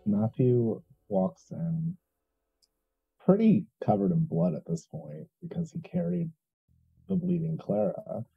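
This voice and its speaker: A thin, band-limited recording carries a man's gravelly, husky voice. He narrates his character's actions in a roleplaying game slowly, with deliberate dramatic pauses. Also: mid-range voice, big pitch swings, narrow, tinny audio, faint music behind. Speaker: player in a tabletop roleplaying game.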